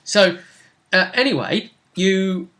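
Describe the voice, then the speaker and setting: A man's voice: sits high, expressive, takes it slowly, pushed and bright. A man hosting as game master in a casual chat, conversational and often seeking agreement.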